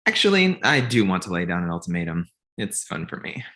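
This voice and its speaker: Blunt, snobbish young man played by an actor, low-pitched, Ivy League type, comedy table read. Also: very animated, a little fast, bright, forceful tone, exaggerated voice.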